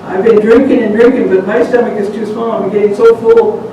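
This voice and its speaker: A man's voice: sits high, sing-song, a little fast, creaky, highs cut off, exaggerated voice. A man voicing a small, sly trickster rabbit who teases and coaxes, in a folk tale told aloud. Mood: coaxing. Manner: teasing, wheedling, mocking. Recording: distant, echoey, noisy live room.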